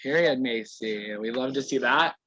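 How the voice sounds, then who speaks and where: A man's voice: mid-range voice, moderate pace, sharp tone, no room sound. A man hosting an online game over a video call, introducing players one by one with a playful, sassy manner. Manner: playful, sassy catchphrase "period". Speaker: host of an online reality game.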